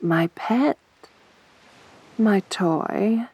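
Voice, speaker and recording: A woman playing a possessive, teasing siren in a soft, breathy voice for an ASMR roleplay, with a seductive, toying manner. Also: medium pitch, full character voice. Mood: teasing, menacing. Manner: slow, seductive, toying, assertive. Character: beautiful sadistic siren, winged monster woman, teasing, possessive.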